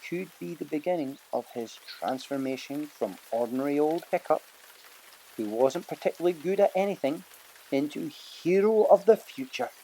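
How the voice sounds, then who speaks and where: A man reads scripted audiobook narration as a dramatic storyteller. He uses emphatic pauses, and there is clear noise behind his voice. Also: a little high, mellow, low-quality recording.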